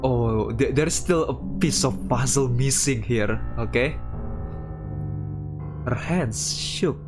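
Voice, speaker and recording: A man streams a game with faint guitar music behind him. His voice sits at an average pitch and he takes his time, at a slightly unhurried pace. He moves haltingly between reading story text aloud and casual commentary. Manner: halting, breaks off to react to the story.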